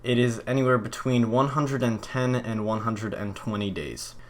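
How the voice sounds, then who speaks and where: A young man from Poland answering viewer questions in a casual vlog, relaxed and rambling, with plenty of fillers and restarts. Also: medium pitch, normal speed, slight background noise. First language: Polish.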